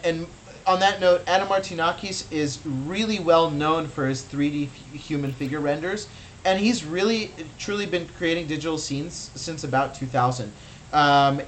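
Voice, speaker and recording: A man's voice: on the high side, poor audio. A young American man, a native Russian speaker, guiding a virtual gallery tour in a chatty, enthusiastic way with many fillers. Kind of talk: casual guided tour.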